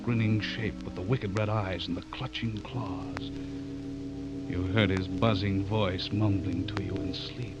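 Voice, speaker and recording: An actor playing an intense horror writer with an insinuating manner, in an old-time radio drama style. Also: male voice, low voice, fairly even intonation, measured pace. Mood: sinister, taunting. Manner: insinuating, menacing when reciting. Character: intense male horror writer, sinister when reading aloud. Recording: muffled old radio recording, loud music behind.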